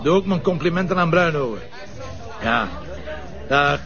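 An actor playing a confident, wry Flemish police inspector, brisk and conversational, in a naturalistic drama. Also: male voice, a little high, lively intonation, crowd noise, close to an everyday voice. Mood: brisk, pleased. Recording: dull lo-fi sound under music.

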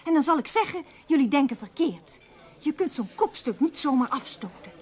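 A woman's voice: high voice, sing-song, moderate pace, a little mellow. An actress playing a writer's anxious, loyal woman companion in a Dutch radio play, pleading and scolding in a Netherlands accent. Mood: insistent, concerned.

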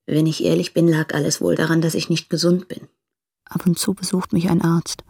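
An actress playing a lonely, despairing young woman who confesses in letters in a radio drama, with a husky, halting, subdued delivery. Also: lowish voice, flat delivery, a little mellow. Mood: weary. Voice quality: breathy, husky with creaky edges.

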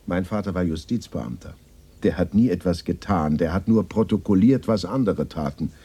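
Worn out war survivor in a radio play, really raspy dark voice, defensive, resigned. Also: male voice, low-pitched, normal speed. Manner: evasive, subdued, defensive. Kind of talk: 1980s German radio drama dialogue.

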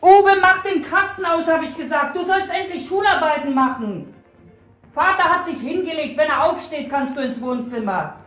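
A woman's voice: sits high, animated, normal speed, a bit bright, echoey room, soft background music. A woman acting a stern, bossy village pub landlady and impatient mother in a German radio play. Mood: scolding.

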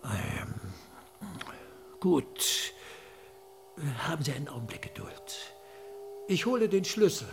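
An actor playing a grumpy, wary forensic pathologist in a radio drama, brusque and irritable. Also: male voice, a little high, big pitch swings, takes it slowly, creaky, long pauses, slight background noise, full character voice. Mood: flattered, hesitant.

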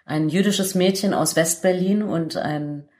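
A woman's voice: fairly low pitch, unhurried, a little mellow. A woman speaking as a radio show host in a conversational interview.

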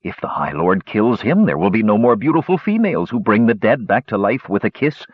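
A man's voice: lowish voice, very animated, brisk, slightly rough, no room sound. A man voicing a flustered male gnome who talks in a breathless, dramatic way. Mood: distraught. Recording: dull lo-fi recording.

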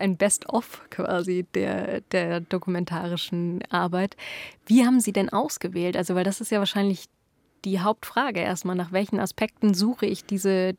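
A woman's voice: medium pitch, darkish tone, no echo. A woman interviewer asking questions in a conversational way.

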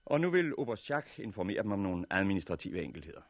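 A composed leader addressing a meeting in a radio drama. The voice is low and closely recorded, with formal, measured, courteous delivery. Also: male voice, sing-song, no echo, heavily acted. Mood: resolute, grave. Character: composed male leader chairing a survivors' meeting. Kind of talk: 1960s radio drama acting, public address.

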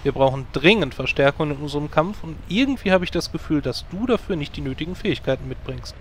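A man's voice: on the high side, expressive, fast, a little hiss or hum, natural voice. A man playing a curt guild leader. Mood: dismissive. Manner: dismissive, matter-of-fact. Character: blunt male guild leader judging recruits.

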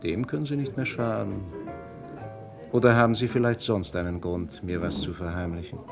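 An actor playing a shrewd, persistent police inspector in a vintage radio crime drama, blunt and probing. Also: male voice, on the low side, normal speed, gravelly, music in the background. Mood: stern, pressing. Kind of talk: German radio crime play acting, 1950s to 80s.

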